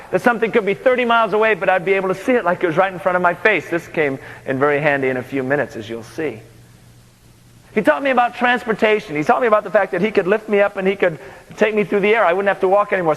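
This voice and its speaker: A man preaching a story in the first person, animated and conversational, in a large hall. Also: high-pitched. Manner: animated, conversational, with acted dialogue. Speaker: pastor. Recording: large hall with long reverb.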